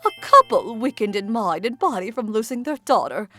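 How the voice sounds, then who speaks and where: A woman playing a gentle, anxious, apologetic middle-aged mother in a manga dub, tender and emotional. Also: fairly high pitch, expressive, normal speed, soft background music. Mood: explaining. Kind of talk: anime-style manga dub acting.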